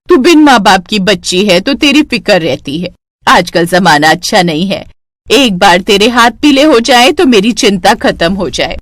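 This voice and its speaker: A woman's voice: mid-range voice, dry recording, studio quality. A woman voicing a caring older neighbour aunt in a cartoon story, motherly and fretful.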